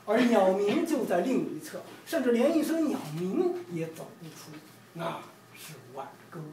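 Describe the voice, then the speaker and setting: A middle-aged man from China recites his poetry with measured, emphatic phrasing. He is recorded from a little distance in a very roomy space, with faint noise behind him. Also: high-pitched, sing-song, dark tone. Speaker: poet reading own work. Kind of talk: poetry reading. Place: room with large windows, indoors.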